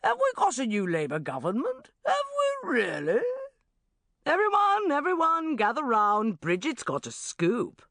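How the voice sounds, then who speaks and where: A woman voicing a hyperactive male news boss who rants loudly. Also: on the high side, wildly swooping pitch, a little slow, dry recording, clean studio sound, pitched above the performer's own voice, full character voice. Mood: ranting. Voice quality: loud, ranting.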